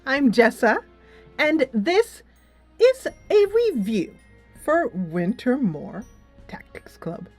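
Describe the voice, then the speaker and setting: A woman's voice: high voice, very animated, slow pace. A woman hosting a casual game review, talking in a chatty, loosely structured, emphatic way. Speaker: game reviewer and channel host. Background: music.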